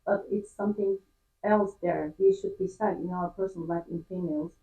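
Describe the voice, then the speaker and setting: A woman's voice: fairly low pitch, steady tone, brisk, dark tone. A middle-aged woman who is not a native English speaker, giving earnest interview answers. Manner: earnest, uses non-idiomatic phrasing. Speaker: interviewee, company employee. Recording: echoey room, distant slightly dull mic.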